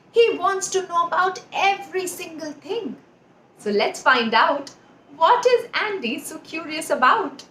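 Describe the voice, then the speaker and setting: A woman's voice: high-pitched, fairly bright tone, echoey room, slight background noise. A woman telling a children's story as its host, speaking straight to the kids and leaning on repeated words for emphasis. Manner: addresses children directly, emphatic word repetition.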